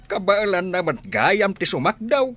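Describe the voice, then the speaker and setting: A man's voice: high voice, very animated, unhurried, fairly bright tone, soft background music, exaggerated voice. A man with an Ilocano accent playing a gossipy, disapproving village uncle in a comedy radio drama. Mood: nosy, disapproving.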